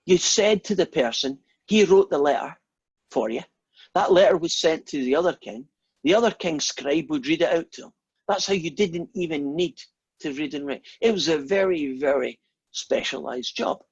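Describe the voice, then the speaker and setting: A Scottish man gives an informal maths lecture and wanders happily off topic into long, self-deprecating stories. His voice is husky and a little rough at the edges. The recording sounds muffled and dull, without any crisp highs. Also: no room sound. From Scotland. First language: Scots. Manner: rambling, anecdotal, self-deprecating.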